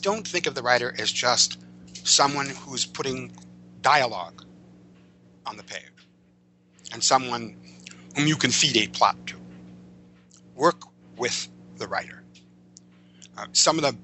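A man with a strikingly bright, forceful voice talks as a writer being interviewed. He explains in an emphatic, halting, wandering way. A faint noise and hum sit under the recording. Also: mid-range voice, low-quality recording. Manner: digressive, emphatic, halting delivery. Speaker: interview guest, comic book and screen writer. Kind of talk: conversational explanation of film and comics craft.

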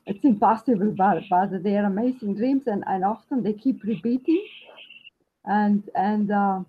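A woman's voice: medium pitch, moderate pace, husky. A woman from Austria with an Austrian German accent, introducing herself hesitantly in a class, with many restarts. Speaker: class participant. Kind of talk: casual spoken introduction. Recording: muffled lo-fi call line with faint noise.

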